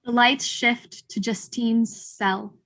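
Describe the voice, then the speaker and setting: Casting: female narrator for stage directions, very bright tone, dry close sound, scripted delivery. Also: fairly high pitch, fairly even intonation, slow pace, dry recording.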